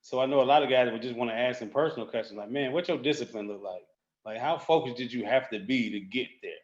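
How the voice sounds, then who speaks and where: A man speaking as a guest, relaxed and rambling, with casual street slang. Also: medium pitch, fast, echoey room, lo-fi sound. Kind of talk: casual conversation.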